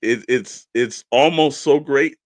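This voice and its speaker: A man's voice: medium pitch, takes it slowly, no echo. A man co-hosting a casual live show, hyping up guests in a folksy, effusive way and repeating phrases for emphasis. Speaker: podcast co-host.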